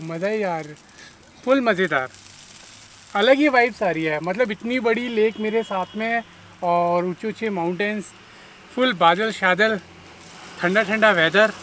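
A man's voice: high voice, sharp tone, a little hiss or hum. A man in his thirties chatting casually to viewers while cooking outdoors beside a camper van at a lake, upbeat and talkative. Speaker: travel vlogger.

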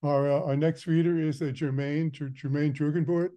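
Mellow older man hosting informally. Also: fairly high pitch, fairly even intonation, moderate pace. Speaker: host. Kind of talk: informal introductions. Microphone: video call.

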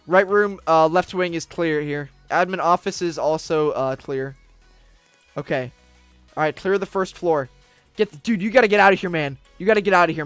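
A young man streaming a roleplay game, leading a team with urgent commands that swing from hushed whispers to shouts, recorded on a desk mic in a small room. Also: high-pitched, smooth, dry recording. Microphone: desk mic, webcam streamer.

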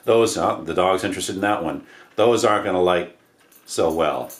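American male DIY presenter, low-pitched, casual and rambling, recorded in an echoey living room. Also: normal speed. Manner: offhand, slangy, rambling. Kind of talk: casual hands-on how-to demonstration. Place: indoors, living room by wood stove. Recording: roomy echo, noise of handled objects.

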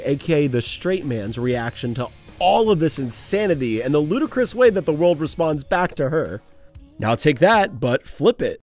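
A man's voice: a little high, darkish tone, no echo. A young man hosting a casual commentary video, with sarcastic, self-mocking asides. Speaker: video essay host.

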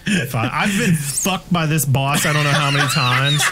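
A man's voice: on the high side, moderate pace, noisy background. A middle-aged man chatting casually as he plays a game, muttering sweary reactions. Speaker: gaming show co-host playing the game. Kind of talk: casual gameplay chat. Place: indoor streaming room.

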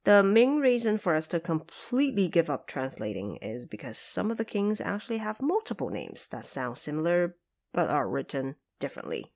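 A woman's voice: low-pitched, huge pitch swings, moderate pace, darkish tone. A woman hosting and narrating a scripted explainer in a didactic, carefully enunciated manner. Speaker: host and translator.

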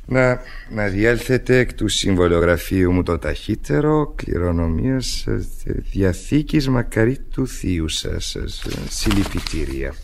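An actor in a radio play as a fussy, sceptical police inspector, courteous but officious and a little hesitant. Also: male voice, on the low side, very animated, gravelly, slight background noise. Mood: fussy, polite. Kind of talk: radio theatre acting.

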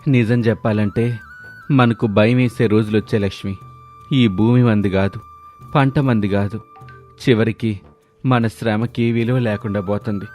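A man playing humble, earnest village men in the dialogue of an animated moral story. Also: lowish voice, natural voice. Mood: worried. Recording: music under the voice.

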